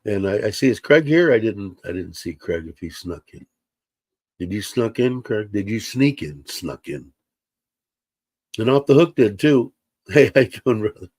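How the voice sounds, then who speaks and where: Rambling low-voiced man, slightly congested. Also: big pitch swings, normal speed, darkish tone, husky, no room sound, studio quality. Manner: rambling, chatty, with many fillers and restarts. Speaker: livestream host. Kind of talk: casual livestream chat. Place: at his computer at home. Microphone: computer mic.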